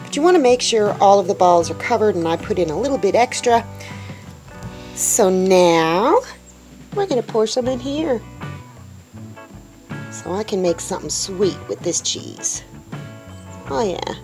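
A woman's voice: mid-range voice, a bit bright. Woman, homestead vlogger, chatty and upbeat, cooking demo, camera mic in a small kitchen, faint music behind. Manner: chatty, upbeat, talks to viewers as folks. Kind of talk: casual cooking demonstration. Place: indoors in a small tiny-house kitchen.

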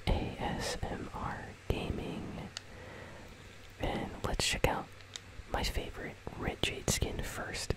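A gaming channel host speaking entirely in a soft, breathy, unvoiced whisper, giving casual, rambling ASMR commentary. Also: low-quality recording. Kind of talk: ASMR whispered commentary on a game item shop.

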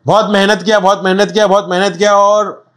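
Young man, Mumbai Hindi accent, high-pitched voice, animated, slangy storytelling, studio interview. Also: a little fast. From Mumbai, India. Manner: animated, repetitive, streetwise slang. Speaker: rapper guest. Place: small studio set with chairs.

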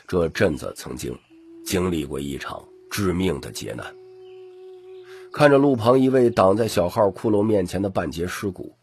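A man reads an audiobook as a dramatic storyteller. His voice is low and very husky, and his pitch moves in wide, animated swings through the scripted narration. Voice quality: husky, gravelly.

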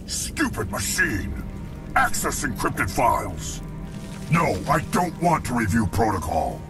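A man's voice: mid-range voice, big pitch swings, slow pace, over music. An actor voicing a short-tempered male alien warrior in a game, barking aggressively and impatiently. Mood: furious. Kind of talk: scripted game dialogue.

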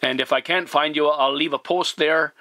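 A man talking as a CB radio operator, courteous and formal, deliberately repeating himself in radio procedure style. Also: on the high side, fairly even intonation, pushed and bright, no echo. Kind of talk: radio contact talk, spelling in phonetic alphabet.

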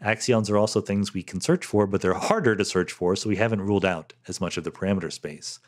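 Middle-aged male podcast host, lowish voice, solo Q&A, explains patiently with "um" and "right?" checks. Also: quick pace. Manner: thoughtful, explanatory, sprinkles "um" and "right?". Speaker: podcast host answering listener questions. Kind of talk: solo question-and-answer monologue.

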